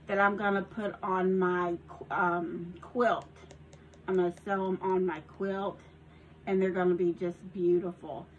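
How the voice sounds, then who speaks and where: Woman, Southern accent, casual vlog chatter, unhurried, lo-fi phone recording. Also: medium pitch, a little hiss or hum. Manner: chatty, rambling, with giggles and restarts. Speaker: crafter showing a handmade journal. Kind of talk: casual show-and-tell vlog. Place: home craft room.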